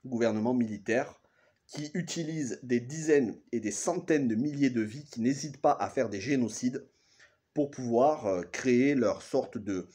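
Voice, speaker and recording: A man's voice: medium pitch, a little slow, dark tone, breathy edge. Guy in his 30s with a cold, stuffy, going over an episode plot, lots of stops and self-corrections. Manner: hesitant, restarts and corrects himself often. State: has a cold. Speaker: TV series reviewer. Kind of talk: casual spoken episode recap.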